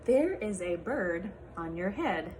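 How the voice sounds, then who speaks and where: A woman voicing a calm, matter-of-fact animal friend in a storybook, stating things plainly and deliberately. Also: mid-range voice, a little hiss or hum.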